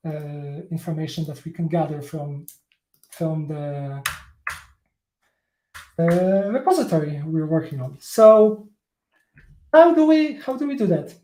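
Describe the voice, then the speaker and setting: Slow, halting man with a Polish accent. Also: fairly high pitch, lively intonation, dark tone, roomy sound, a little hiss or hum, poor audio. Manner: halting, many fillers and restarts. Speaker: tech presenter. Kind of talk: live coding talk.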